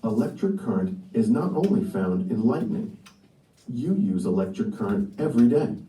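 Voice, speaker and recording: Male educational narrator, very dark mellow tone, lively intonation, explaining from a script. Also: fairly low pitch, normal speed. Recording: echoey playback in room, distant.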